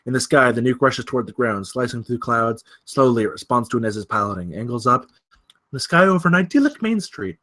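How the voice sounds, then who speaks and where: Bright, forceful male screenplay narrator. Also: medium pitch, lively intonation, brisk. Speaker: stage-direction narrator. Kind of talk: scripted narration at a film table read.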